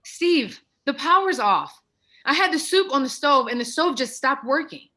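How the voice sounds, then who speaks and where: An actress playing an anxious suburban housewife in a radio play. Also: female voice, high voice, lively intonation, moderate pace, pushed and bright. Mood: worried.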